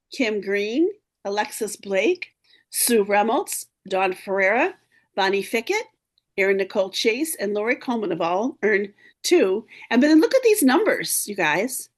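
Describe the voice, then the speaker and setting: Upbeat slightly hoarse woman leading a call. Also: medium pitch, very animated, unhurried. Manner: upbeat, encouraging, clears throat often. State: a little hoarse. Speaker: team leader hosting a video meeting. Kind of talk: casual team meeting talk. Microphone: video call.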